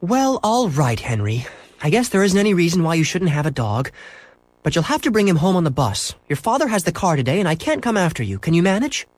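Exasperated, fussy mother for a children's tale, played by a man, high and animated. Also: a little fast, no room sound. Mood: fretful. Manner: exasperated, fretful. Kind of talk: children's storybook character voice.

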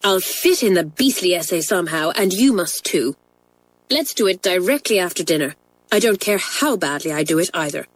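A woman's voice: fairly low pitch, moderate pace, no echo. A woman as a stubborn schoolgirl. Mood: obstinate. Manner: obstinate, flat determination. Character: stubborn sulky schoolgirl twin.

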